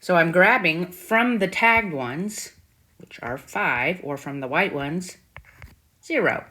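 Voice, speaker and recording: A woman's voice: on the low side, animated, slow pace, pushed and bright. A woman teacher giving an informal math tutorial, thinking aloud and often checking in with short questions. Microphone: screen-recording voice-over. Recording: faint background noise with clicks.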